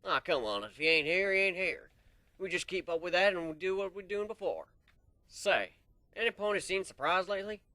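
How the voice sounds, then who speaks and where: A man voicing a brash, swaggering stallion soldier who talks loud and cocky with folksy slang. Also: high-pitched, lively intonation, a little fast, no echo, pitched above the performer's own voice.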